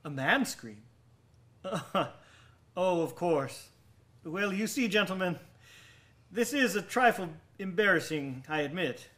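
An actor in a radio drama playing an over-polite, nervous murderer with a genteel, halting way of talking. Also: male voice, sits high, lively intonation, fairly bright tone, heavily acted. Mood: nervous politeness. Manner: genteel, overly courteous, halting. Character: nervous, over-polite male murderer hiding guilt from police.

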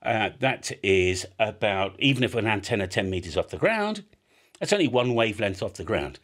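A man's voice: medium pitch, fairly bright tone, breathy edge. A man with a British accent in casual, rambling explanation, blunt and emphatic as a video host. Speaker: amateur radio video host.

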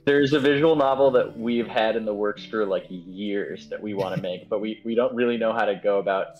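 A man's voice: fairly low pitch, fairly quick, highs cut off. A man chatting casually and enthusiastically as a guest game developer on an online call, in a rambling way. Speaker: game writer and director, guest. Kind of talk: casual chat in a Q&A. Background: guitar music playing softly.

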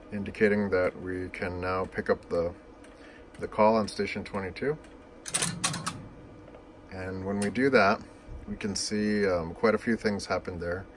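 A man's voice: fairly low pitch, steady tone, unhurried, long pauses, slight background noise. A man giving an informal, unscripted technical demonstration in a matter-of-fact, explanatory way, recorded indoors on a camera microphone. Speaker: demonstrator of vintage telephone equipment. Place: indoors beside an equipment cabinet.

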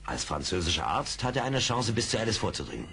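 An actor playing a calm, seasoned frontier scout in an audio drama, matter-of-fact and authoritative. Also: male voice, fairly low pitch, fast talker, creaky, full character voice. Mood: sober. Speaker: actor in a radio play. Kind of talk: 1970s German audio drama acting.

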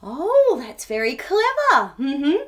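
A woman hosts a storytime for small children. Her voice sits high and swings widely up and down. She speaks in a playful, exaggerated way, as if drawing little listeners in. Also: very slow. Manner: exaggerated, animated, sing-song child-directed delivery. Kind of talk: playful read-aloud for young children. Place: small furnished room, indoors.

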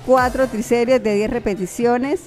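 A woman's voice: on the high side, fairly even intonation, moderate pace, darkish tone. A young adult woman giving workout instructions as a fitness vlogger, chatty and encouraging, with lots of affectionate diminutives. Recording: background music under the voice. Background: music.

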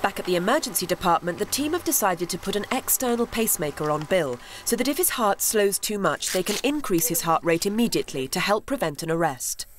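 A woman narrating a documentary in a measured, scripted voice. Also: medium pitch, animated, a little hiss or hum.

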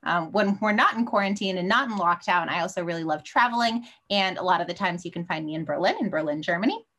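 A woman's voice: fairly low pitch, quick pace, fairly bright tone. A young woman, a teaching assistant, giving an informal, upbeat self-introduction to students over a video call. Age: young adult.

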